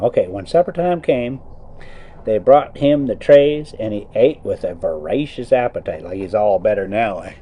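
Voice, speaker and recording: A man's voice: on the low side, dull audio. A man reading a story aloud in a folksy, theatrical way, with casual colloquial asides, while driving a motorhome. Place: inside a motorhome being driven.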